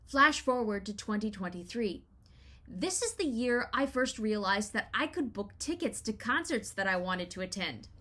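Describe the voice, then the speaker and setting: A woman in her thirties with an American accent, reading a scripted first-person essay in an expressive, wry storytelling manner. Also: medium pitch, normal speed. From Los Angeles, United States. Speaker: writer reading their own personal essay.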